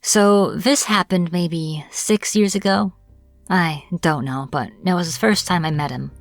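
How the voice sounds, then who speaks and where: A woman's voice: lowish voice, a little slow, no room sound, studio quality, soft background music. A woman acting as a captured witch in a fantasy audio roleplay, irritable and defiant, speaking informally with sarcastic exasperation. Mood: bitter recounting. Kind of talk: dramatic fantasy audio roleplay, addressed to the listener.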